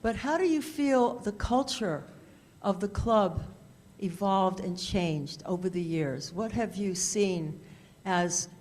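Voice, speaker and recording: A woman's voice: mid-range voice, slow pace, darkish tone. Polite woman asking a question. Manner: polite, hesitant. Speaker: club member asking a question.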